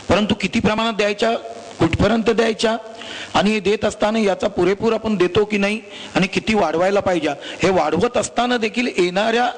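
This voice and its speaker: High-pitched Maharashtrian male politician. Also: poor audio. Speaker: politician on a panel. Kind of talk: formal panel speech. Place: live public panel event.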